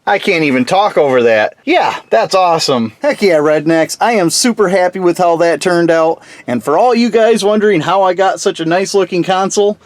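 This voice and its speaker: A man's voice: sits high, normal speed, hardly any pauses. A man hosting a DIY build video, talking informally and folksily through a casual hands-on demonstration. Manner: informal, folksy, mild slang. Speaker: host of a DIY truck build channel.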